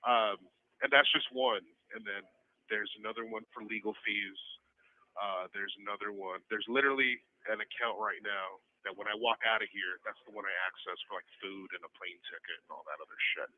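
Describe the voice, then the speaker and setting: A man's voice: lowish voice, a little fast. A man in his forties talking casually from a jail phone, telling his story in emphatic, profane slang. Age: 40s. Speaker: inmate phoning in to a stream. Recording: tinny, band-limited jail phone line.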